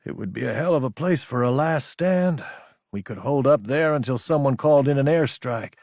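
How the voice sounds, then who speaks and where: A man voicing a calm, analytical army lieutenant in an audiobook, with clipped, matter-of-fact military speech. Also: mid-range voice, moderate pace, dry recording, clean studio sound, close to an everyday voice. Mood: calm, businesslike.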